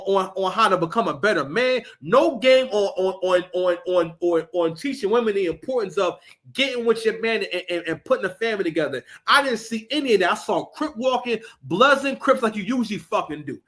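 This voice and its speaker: A man hosting a reaction show, ranting in heated, profane commentary with many restarts. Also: high voice.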